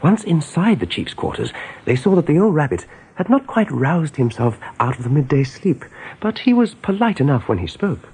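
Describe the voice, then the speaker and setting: A man narrating a radio drama in a measured, scripted storytelling style. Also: a little high, sing-song, a little mellow, husky, exaggerated voice, low-quality recording.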